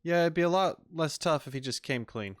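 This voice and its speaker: A man's voice: on the high side, animated, fairly quick. A man giving casual, wry, joking commentary as he streams a video game.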